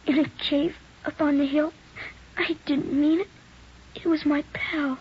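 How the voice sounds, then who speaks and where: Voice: high-pitched, level tone, measured pace. An actor in a 1940s radio drama playing a frightened, guilt-ridden nine-year-old boy, pleading and earnest. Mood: scared, remorseful. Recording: dull noisy 1940s radio recording.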